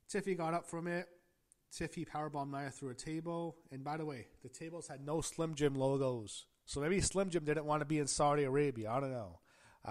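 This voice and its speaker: A man who is feeling under the weather and whose voice is a little off. He recaps a show in a casual, rambling way, with fillers, self-corrections, gasps and some throat clearing. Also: mid-range voice, a little mellow. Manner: gasping and clearing throat at times. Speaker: wrestling show reviewer.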